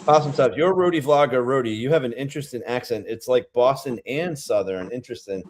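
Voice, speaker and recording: A man's voice: mid-range voice, fast, a little mellow. Chatty man with a Rhode Island accent. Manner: chatty, rambling, reads out viewer comments. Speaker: beer review vlogger. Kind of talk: casual livestream chat.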